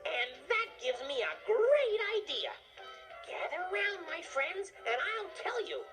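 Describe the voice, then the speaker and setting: A woman's voice: very high-pitched, big pitch swings, takes it slowly, recorded from a distance, music in the background, pitched above the performer's own voice, exaggerated voice. A woman voicing a little boy toy creature, a boastful and eager young inventor, in a children's storybook. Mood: scheming.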